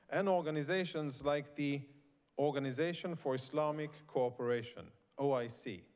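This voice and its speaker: A man who grew up in Sweden, giving a prepared speech to a live audience at a conference, in a measured, emphatic and deliberate way. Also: mid-range voice. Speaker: conference speaker. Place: conference venue in New York.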